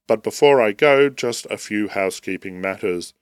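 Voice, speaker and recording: A man with a fairly low voice, made raspy and nasal by the tail end of a head cold. He hosts a podcast and reads a prepared essay on theology in a slow, formal, deliberate way. The recording is close and dry, with no room sound. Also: dry recording. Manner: measured, formal, deliberate delivery. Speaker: podcast host.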